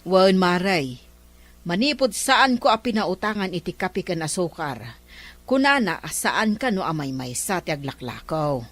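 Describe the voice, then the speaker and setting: Woman acting as animated Filipino village housewife. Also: fairly low pitch, normal speed. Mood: aggrieved. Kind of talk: comedy radio drama acting.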